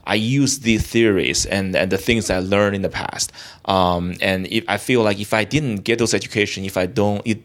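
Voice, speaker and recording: A young man from China, a guest in an interview, talking in a halting way with many restarts and fillers. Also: deep voice. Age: young adult. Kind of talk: casual interview talk.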